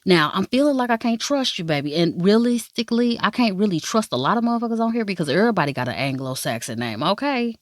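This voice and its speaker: A woman's voice: medium pitch, animated, fast, few pauses, no echo, clean studio sound. A woman reviewing dramas in a casual vlog, talking straight to her viewers in an emphatic, playful way and swearing freely. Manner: talks straight to viewers, calling them baby and y'all. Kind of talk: casual first-impressions review.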